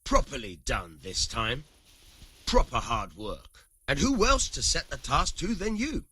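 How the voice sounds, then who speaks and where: An actor playing a cynical, rough-tongued medieval commoner with a husky voice and colloquial, emphatic delivery in a video game. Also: male voice, mid-range voice, sing-song, a little slow, pushed and bright, full character voice. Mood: wry, conspiratorial. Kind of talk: video game dialogue acting.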